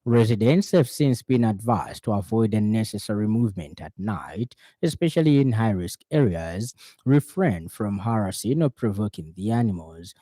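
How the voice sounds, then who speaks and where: A Zambian man reads a scripted news bulletin on the radio. His voice is low and mellow, with a formal, measured delivery. The sound is close and dry, like a studio booth. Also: no room sound.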